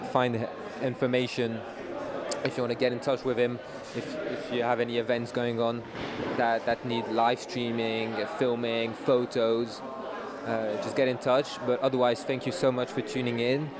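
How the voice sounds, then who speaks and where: A man's voice: medium pitch, level tone, normal speed. Young man hosting over crowd noise. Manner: chatty, laughing, thanks people warmly. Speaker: student livestream host. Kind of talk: casual sports livestream chat. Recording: crowd audible behind.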